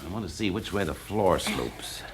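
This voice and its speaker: An actor playing a shrewd, methodical British fire investigator with clipped, probing questions in a classic radio drama. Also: male voice, low-pitched, animated, normal speed, slight background noise. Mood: purposeful, reasoning.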